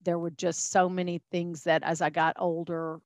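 Texan woman, folksy and low-pitched. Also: flat delivery, moderate pace, dry recording, clean studio sound. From Texas, USA. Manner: chatty, folksy, with many fillers and restarts. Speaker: podcast guest. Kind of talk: casual interview storytelling.

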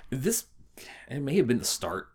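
A man co-hosting a podcast in casual conversation, rephrasing himself haltingly as he goes. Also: medium pitch, big pitch swings, darkish tone, breathy edge. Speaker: podcast co-host. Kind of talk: casual chat.

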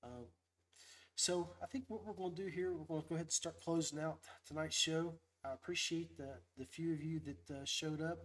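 A man with a slightly high voice chats as the host of a fishing livestream. His delivery is folksy and upbeat, wandering from one thought to the next as he goes. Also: fairly even intonation, brisk, fairly bright tone. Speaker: podcast host. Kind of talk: casual livestream fishing chat.

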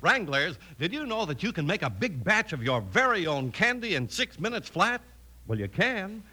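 A man's voice: high-pitched, bright, forceful tone, husky. A man announcing and narrating in an enthusiastic, emphatic 1950s radio style, reading scripted copy. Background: music.

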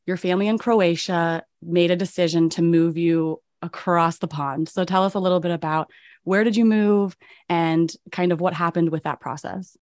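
Calm low-voiced woman hosting a podcast. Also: moderate pace, no room sound, clean studio sound. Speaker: podcast host. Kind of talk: interview podcast.

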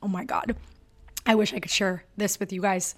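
A woman's voice: medium pitch, steady tone, normal speed. Bubbly woman with a Michigan accent. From Michigan, USA. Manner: bubbly, chatty and upbeat. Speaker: podcast host. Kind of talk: solo podcast monologue.

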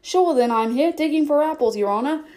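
A simple, timid gardener answers his master meekly and deferentially, as a woman reading aloud puts him on. His voice sits a little high. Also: expressive, fairly quick, darkish tone. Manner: meek, deferential.

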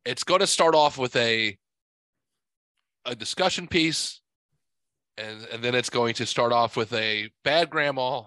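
A man's voice: mid-range voice, measured pace, long pauses, bright, forceful tone, no room sound. A man hosting a podcast while sick and stuffed up, talking in a crude, profane, rambling way. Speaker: podcast host. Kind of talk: casual podcast chat.

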